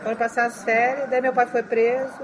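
A middle-aged woman from Brazil who grew up in China, answering questions in a halting, conversational way. She restarts her phrases often and ends sentences with tag questions. Crowd chatter is clearly heard behind her voice. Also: fairly high pitch, little pitch movement, low-quality recording. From Brazil, raised in China. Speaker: interviewee. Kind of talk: casual interview.

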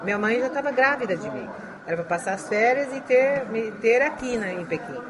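A middle-aged woman from Brazil who grew up in China, answering questions in a halting, conversational way. She restarts her phrases often and ends sentences with tag questions. Crowd chatter is clearly heard behind her voice. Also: fairly high pitch, lively intonation. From Brazil, raised in China. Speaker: interviewee. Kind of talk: casual interview.

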